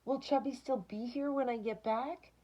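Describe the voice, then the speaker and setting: A woman's voice: on the high side, steady tone, moderate pace, dark tone, pitched above the performer's own voice, close to an everyday voice. A woman reading aloud as a doting, anxious female cat owner in a children's storybook. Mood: worried.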